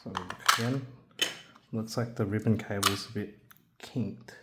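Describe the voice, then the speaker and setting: A man narrating a hands-on assembly tutorial in a casual, careful, unscripted way, pausing as he works. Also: fairly low pitch, fairly even intonation. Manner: unscripted, careful, halting while working with hands. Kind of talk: casual step-by-step instructional narration. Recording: background noise with clicks and handling sounds.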